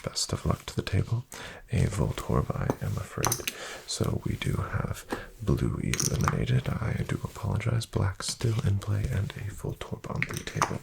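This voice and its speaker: A man playing a courteous, formal casino dealer in a soft-spoken ASMR roleplay, hushed, polite and measured. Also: sits low, very animated, very noisy, full character voice. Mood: apologetic, formal. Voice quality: soft, hushed, breathy-edged delivery. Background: card shuffling and clicking.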